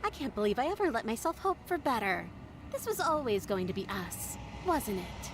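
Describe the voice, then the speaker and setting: A woman's voice: on the high side, big pitch swings, normal speed. A woman playing a bitter, wounded princess in a horror game, speaking dramatically. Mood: bitter heartbreak. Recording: game audio with clear background noise.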